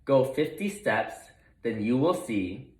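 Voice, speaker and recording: A man's voice: mid-range voice, lively intonation, slow. A man voicing a storybook female park ranger who announces rules in an instructive way, in a roomy recording. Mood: announcing.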